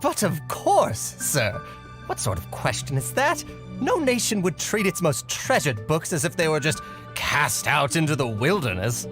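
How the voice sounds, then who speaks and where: A man voicing a reverent, flattering subject of a fantasy kingdom in an anime game, speaking in florid, deferential phrases over music. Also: on the high side, a little slow. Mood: proud. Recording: music behind the voice.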